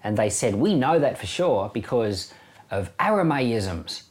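A man's voice: mid-range voice, big pitch swings, a little slow. A middle-aged man with an Australian accent gives informal Bible commentary as a pastor, in a plain-spoken, conversational way. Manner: conversational, plain-spoken, chuckles now and then. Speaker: pastor hosting a Bible podcast.

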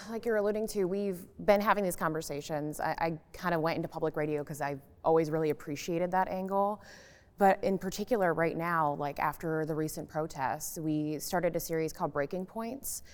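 A woman speaking casually as a public radio journalist on a discussion panel. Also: low voice. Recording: long echoing reverb.

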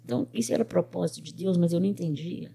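An older woman from Brazil, a pet groomer and business owner, telling her life story in an interview with lively quoted speech. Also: lowish voice, fast talker, vocal fry, husky. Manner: animated storyteller who quotes other people's words.

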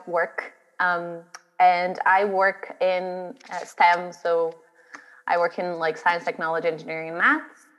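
A woman's voice: lowish voice, a bit bright, long reverb tail, rough recording. A woman from Brazil, a drag artist and guest, telling her story in a chatty interview, laughing now and then. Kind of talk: interview chat.

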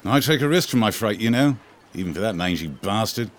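A man's voice: on the low side, animated, a little fast, a little hiss or hum, close to an everyday voice. A man playing a menacing, mercenary 1890s waterfront kidnapper in an audio drama, curt and sly. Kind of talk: scripted audio drama acting.

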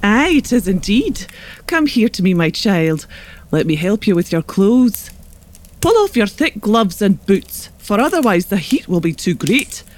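Sábia irlandesa, muito expressiva. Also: female voice, mid-range voice, big pitch swings, unhurried, a little mellow. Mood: welcoming. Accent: Irish. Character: shrewd, hospitable northern wise woman, calm and frank. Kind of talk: children's audio drama acting.

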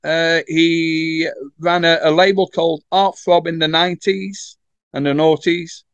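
A man's voice: on the high side, steady tone, unhurried, no echo, dull audio. A man hosting an interview, introducing his guest and asking questions in a hesitant way with many fillers. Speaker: podcast host. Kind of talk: casual interview.

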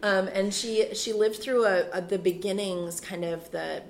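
A woman in her thirties, a priest and school chaplain, talking in a relaxed interview with a soothing, reflective manner. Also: mid-range voice, a little slow. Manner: frequent fillers and restarts, laughs easily. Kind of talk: casual interview conversation.

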